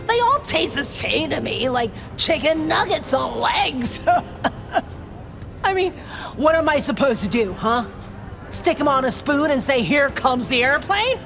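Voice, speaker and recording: Slightly dull game audio with music behind it carries a young female vampire, scrappy and sarcastic. Her pitch swings widely and she takes her time, talking in streetwise slang with a sardonic edge. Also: heavily acted. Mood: exasperated. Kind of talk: video game character dialogue.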